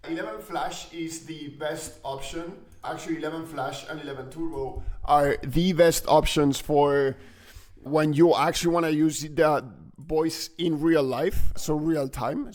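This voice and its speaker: A man with a slightly high-pitched voice walks through software as a tutorial presenter. His delivery is casual and explanatory, as if thinking aloud while he demonstrates.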